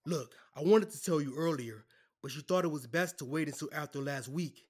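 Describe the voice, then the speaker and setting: A man's voice: fairly high pitch, a little fast, a little mellow, slightly rough. An actor playing a defensive, placating male lawyer who has betrayed his client, in an audio drama. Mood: sheepish, placating.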